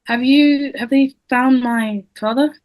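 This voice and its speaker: Teen horror heroine, female actor, earnest, higher-pitched, close dry sound, table read. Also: steady tone, slow, darkish tone, no room sound. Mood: worried. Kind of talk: informal script table read.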